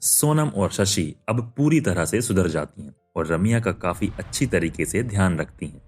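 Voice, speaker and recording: Deep-voiced male story narrator. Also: animated, normal speed, husky, soft background music. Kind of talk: scripted cartoon story narration.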